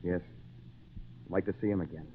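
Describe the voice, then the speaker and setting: A man's voice: low-pitched, fairly even intonation, brisk, husky. A man playing a kindly, lonely English castaway in an old-time radio drama, with patient, theatrical phrasing. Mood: wistful, kindly. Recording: tinny narrow-band old radio recording.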